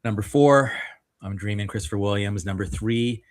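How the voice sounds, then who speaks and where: A man with a fairly low voice co-hosts a casual music podcast. He runs through his ranking with enthusiasm. He stretches out the words he wants to stress. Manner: enthusiastic, drawn-out emphasis when praising.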